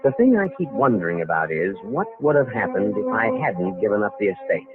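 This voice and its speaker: Smug impostor, tinny old radio. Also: male voice, lowish voice, very animated, fairly quick. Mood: smug, musing. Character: slick, self-satisfied young impostor, wryly musing. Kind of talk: 1940s radio mystery acting. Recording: tinny vintage radio recording with music. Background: music.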